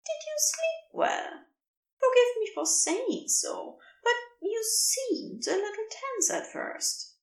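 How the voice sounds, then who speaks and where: A huge, gentle dragon creature, voiced by a woman reading a podfic, speaks in a lifted, soft and fussing tone. It sounds kind and warm toward the one it looks after, with a tone set apart from the narration. Its voice swings lively up and down. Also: extremely high pitch, slow pace, roomy sound, distant mic, voice pushed up, full character voice. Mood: fond. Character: gentle fond dragon creature, big, caring. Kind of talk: podfic character voice.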